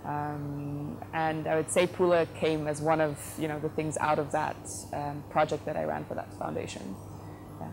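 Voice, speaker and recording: A woman from the Netherlands speaks in a low, steady register with little rise and fall. She is giving relaxed interview answers as a company head, thinking aloud with frequent fillers and restarts. A clear hiss of background noise sits under her voice. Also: normal speed, rough recording. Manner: thoughtful, frequent fillers and self-corrections. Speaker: company CEO, interview guest.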